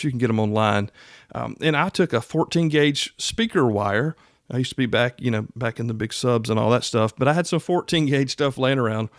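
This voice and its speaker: Man, middle-aged, average pitch, folksy podcast host, casual instructional chat, dry recording. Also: no room sound. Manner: folksy, conversational.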